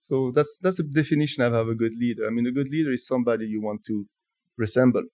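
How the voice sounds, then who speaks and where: A man in his forties from France with a French accent, telling his career story as an interview guest, thoughtful and halting with frequent restarts. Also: mid-range voice, a little mellow, no room sound, studio quality. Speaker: guest, telecom executive.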